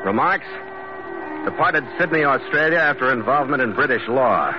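An actor playing a laconic, steady sea captain narrating his adventures in 1940s radio drama, clipped and matter-of-fact. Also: mid-range voice, moderate pace, lightly acted. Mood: brisk, official. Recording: muffled old radio recording, music behind.

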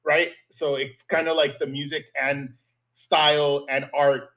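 A man in casual podcast chat, animated and joking as he talks with emphasis. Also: a little high, a little slow. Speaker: podcast co-host. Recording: dull lo-fi sound.

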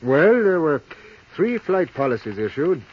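An actor playing a gruff, breezy American aviation inspector in a 1950s radio drama, husky and slangy in a talkative, folksy way. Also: male voice, on the high side, big pitch swings, a little slow, heavily acted. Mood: brisk, matter-of-fact. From south side of Chicago, United States. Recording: muffled 1950s radio recording.

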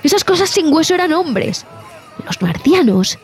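A woman playing a traumatized expedition survivor in a horror audio drama, telling her story in a haunted, halting, dramatic way. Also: sits high, sing-song, heavily acted. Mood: indignant, agitated. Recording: music bed behind the voice.

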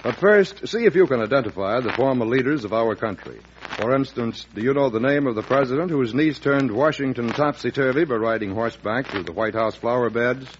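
A man's voice: mid-range voice, expressive, fairly quick. A man announcing a vintage radio show from a script in a formal, declamatory manner. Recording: muffled, faintly noisy old radio recording.

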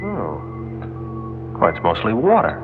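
An actor playing an eager, wonderstruck young space explorer in old-time radio drama style, excitable and dreamy. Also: male voice, fairly low pitch, measured pace. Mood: curious, uneasy. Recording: dull old radio, music behind.

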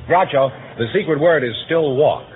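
A man's voice: on the high side, normal speed, loud music behind the voice. A man announcing guests in a vintage radio style. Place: radio studio with audience. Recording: noisy tinny old radio broadcast.

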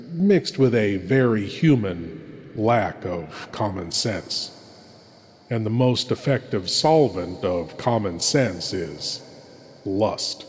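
A man hosting a horror show, delivering a theatrical scripted introduction in an ominous, deliberate, florid manner with long reverb. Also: medium pitch, a little mellow.

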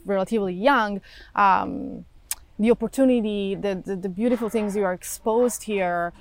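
Italian-accented woman in her thirties, shop owner, halting casual talk with laughs. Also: medium pitch, no echo. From Italy. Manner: halting, restarts often, laughs easily. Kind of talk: casual interview chat.

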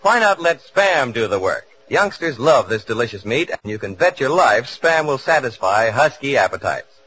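Male vintage radio pitchman: polished, forceful, very lively intonation, dull 1940s broadcast sound. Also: moderate pace, a little hiss or hum. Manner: polished, salesmanlike. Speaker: radio announcer. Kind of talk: 1940s radio advertising announcement.

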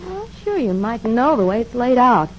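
A woman playing a glamorous, friendly and coy young club performer in an old-time radio soap, husky-voiced. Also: a little high, big pitch swings, normal speed, a little hiss or hum, low-quality recording. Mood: admiring.

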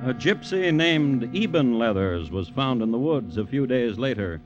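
An actor narrating a dark folk tale for a classic radio drama as a wry, deliberate storyteller. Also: male voice, medium pitch, lively intonation, natural voice. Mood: wry, ominous. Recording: dull old radio recording. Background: music.

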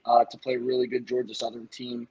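A man's voice: mid-range voice, monotone, fast, mellow, husky, muffled recording. A man, a college baseball coach, talking casually in an interview, rambling a little with restarts.

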